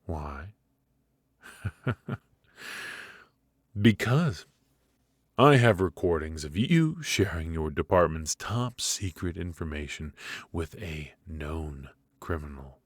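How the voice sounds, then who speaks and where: An extremely low-pitched mafia boss, acted by a man for an ASMR roleplay, recorded close and dry. He is smug and menacing, teasing his captive with courteous, ruthless words at an unhurried pace. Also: dry recording, exaggerated voice. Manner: smooth-talking, teasing, deliberate with drawn-out pauses.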